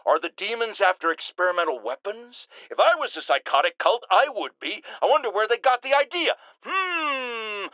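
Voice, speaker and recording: Loud-mouthed middle-aged radio host from a video game, sharp forceful voice, swings all over, sarcastic and indignant. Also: male voice, high voice, creaky, dry recording, exaggerated voice. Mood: grave, urgent. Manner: theatrical, indignant, sarcastic, emphatic. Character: blustering, irascible middle-aged male tabloid radio host. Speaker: call-in radio show host. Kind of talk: bombastic talk-radio ranting.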